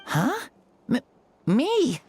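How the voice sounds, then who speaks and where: A woman voicing an earnest, wonderstruck young child in a game, with a hesitant, halting delivery. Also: high-pitched, huge pitch swings, darkish tone, heavily acted. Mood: confused. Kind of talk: game voice acting.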